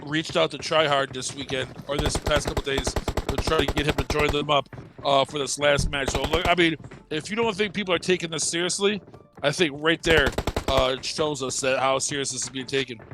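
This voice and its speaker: A man casting a live esports match with a stuffed, nasal voice from being sick, pitched a little above the usual male range. He rambles and jokes, looping through fillers and restarts. Steady game noise runs loud behind him. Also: brisk. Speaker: esports caster. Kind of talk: live esports commentary with joking banter.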